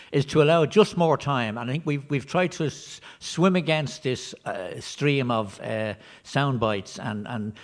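A middle-aged man answering a question on a conference panel in a function room, reflective and hesitant with restarts. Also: medium pitch. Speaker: panel member for community radio.

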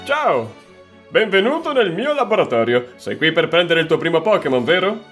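Man acting cartoon lab professor, high-pitched, lively intonation, hesitant, music behind. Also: moderate pace. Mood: apologetic, hesitant. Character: young male lab professor, hesitant Pokémon scientist. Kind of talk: parody cartoon dub.

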